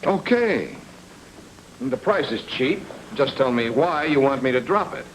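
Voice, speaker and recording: An actor playing a hard-boiled, sardonic private investigator in a noir radio drama, with dry slangy wisecracks. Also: male voice, medium pitch, expressive, measured pace, a little hiss or hum, natural voice, rough recording. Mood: probing.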